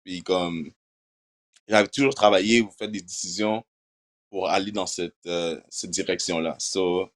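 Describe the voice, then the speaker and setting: A young man from Quebec with a notably low voice, answering questions in a relaxed interview. His first language is English, and he talks loosely, dropping English filler words between his French phrases. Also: a little slow. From Quebec, Canada. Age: young adult. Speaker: university football player.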